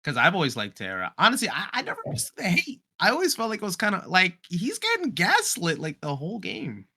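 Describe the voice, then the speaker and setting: A speaker chatting casually about games in an animated, agreeable way. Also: medium pitch, quick pace. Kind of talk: casual group chat about a game.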